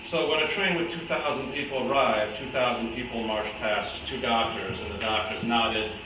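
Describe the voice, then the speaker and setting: A man's voice: mid-range voice, steady tone, normal speed, close to an everyday voice. A man playing a persistent, skeptical interrogator in a staged reading, asking pointed questions. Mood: probing. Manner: probing, pointed. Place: indoor room at a live staged reading, distant mic. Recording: very echoey, noisy room, distant mic.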